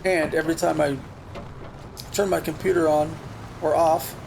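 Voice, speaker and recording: Brief: casual gaming host, male, slightly high voice, rambling commentary, USB desk mic, game noise clearly audible. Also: level tone, moderate pace. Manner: rambling, thinks aloud with restarts. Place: home computer setup. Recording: noisy game sound behind voice. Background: truck game sounds.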